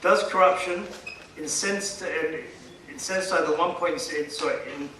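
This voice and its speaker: A man delivering a formal public speech as a guest speaker, emphatic and rhetorical, pausing for effect. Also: on the high side, pushed and bright. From India. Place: conference hall, addressing a seated audience. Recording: echoey hall, distant mic, faint noise.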